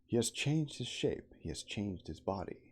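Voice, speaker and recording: A man's voice: fairly low pitch, sing-song, normal speed, a little mellow, husky, pitched above the performer's own voice. A man voicing a bold castaway in a storybook reading, preaching solemnly and commandingly. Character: male castaway narrator boldly preaching law to beast-men.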